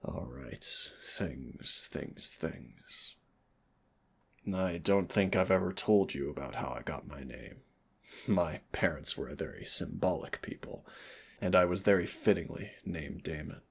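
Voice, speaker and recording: Man acting as a regal demon prince, very low husky voice, slow delivery, tender, intimate roleplay audio. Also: exaggerated voice. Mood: tender, coaxing, then proud, explaining. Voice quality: husky, gravelly. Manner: tender, unhurried, wistful storytelling. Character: gentle, affectionate demon prince, morally ambivalent, regal.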